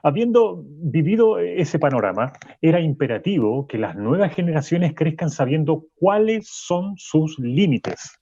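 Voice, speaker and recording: A man's voice: fairly high pitch, lively intonation, measured pace, few pauses, dark tone, slightly rough, muffled recording, full character voice. A man playing a pompous, condescending sociology professor who lectures self-importantly in a radio drama. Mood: lofty.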